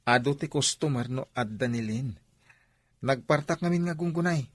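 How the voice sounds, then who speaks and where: A man's voice: medium pitch, moderate pace, dry recording, studio quality, close to an everyday voice. A man with a Filipino accent playing a mild-mannered shop boss in a radio drama. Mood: worried. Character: male print shop boss, mild son leaning on his worker.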